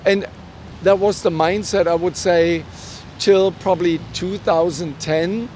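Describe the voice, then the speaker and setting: A middle-aged man from Germany, a native German speaker with a German accent, telling personal stories earnestly and haltingly in an interview. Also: steady tone, darkish tone. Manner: halting, earnest delivery with self-quoted dialogue. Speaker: interview guest. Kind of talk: personal storytelling in a conversational interview. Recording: outdoor street recording with traffic rumble.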